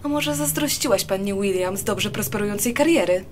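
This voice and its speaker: A woman's voice: mid-range voice, lively intonation, a little fast, background noise, natural voice. A woman playing a cool, self-assured detective in a radio drama, questioning in a composed, pointed way. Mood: cool, probing.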